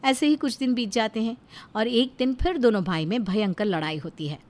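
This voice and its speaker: A woman's voice: a little high, normal speed, a little mellow. A woman narrating a scripted family story as a storyteller.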